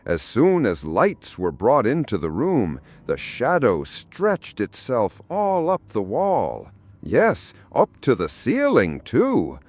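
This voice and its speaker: A man narrating a fairy tale audiobook in an expressive, deliberate storytelling manner. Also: fairly low pitch, dry recording.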